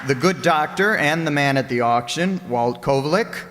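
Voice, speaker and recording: A man's voice: on the high side, expressive, moderate pace, a crowd in the background. A man announcing a recreated old-time radio show in a scripted style. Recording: echoey hall with noticeable noise.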